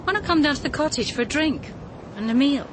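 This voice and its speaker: A woman's voice: high-pitched, animated, a little fast, noisy background. An actress playing a confident, seductive, poised British woman in a radio drama. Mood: teasing, inviting.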